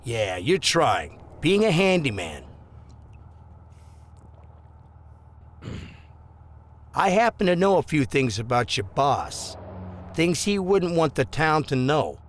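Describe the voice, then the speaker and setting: Crooked small-town cop played by a male actor: higher-set, very animated voice, smooth bully, mock-friendly pressure, audio drama. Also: unhurried, a little hiss or hum. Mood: sly persuasion.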